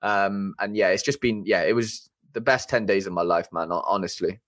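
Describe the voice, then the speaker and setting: A man's voice: on the low side, normal speed, fairly bright tone, no echo. A young Welsh man with a Welsh accent, a podcast guest chatting casually, rambling and eager with many fillers and restarts. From Wales.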